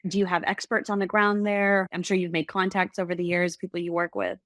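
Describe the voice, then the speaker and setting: A woman's voice: on the low side, level tone, brisk, a bit bright, no echo. Polished podcast host woman, dry mic. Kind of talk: scripted intro and interview questions.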